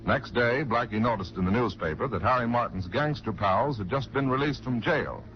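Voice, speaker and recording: A man's voice: mid-range voice, normal speed, a little hiss or hum. A man narrating a scripted 1940s radio drama as its announcer. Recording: muffled 1940s radio recording. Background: music under the voice.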